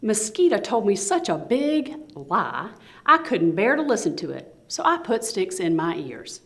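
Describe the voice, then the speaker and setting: A woman's voice: medium pitch, big pitch swings, measured pace, a bit bright. A woman voicing a male storybook iguana, innocent and earnest, emphatically denying blame. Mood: earnest denial.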